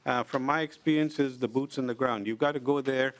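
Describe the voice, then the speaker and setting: Middle-aged man, earnest committee testimony, deliberate, many "uh" pauses, mid-pitched voice. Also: fairly even intonation. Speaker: invited presenter at a legislative committee. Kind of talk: formal testimony, explaining with examples. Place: legislative committee hearing room.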